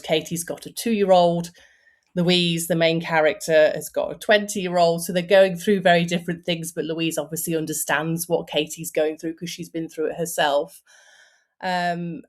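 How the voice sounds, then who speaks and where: A woman in her forties, an author, talking about her book in a casual interview, chatty with lots of fillers and restarts. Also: fairly low pitch. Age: 40s.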